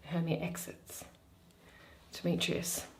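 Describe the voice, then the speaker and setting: A woman's voice: takes it slowly, a little mellow, slight background noise. A woman giving a theatrical solo reading of a Shakespeare play, announcing each character before the lines. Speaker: reader of a play, channel host.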